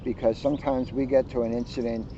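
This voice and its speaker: A man's voice: medium pitch, fairly even intonation, fast talker, dark tone. A man reading and explaining to children in an emphatic way, repeating key phrases, outdoors. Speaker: police officer reading to children. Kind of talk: storybook narration and casual explanation. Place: outdoors, sitting outside. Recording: muffled outdoor recording with traffic noise.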